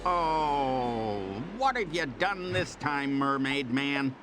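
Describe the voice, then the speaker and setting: An actor playing a cranky, sarcastic, long-suffering aging sidekick superhero in a cartoon game. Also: male voice, on the high side, lively intonation, takes it slowly. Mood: exasperated. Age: older. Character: grumpy, exasperated aging male sidekick superhero. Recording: music behind the voice.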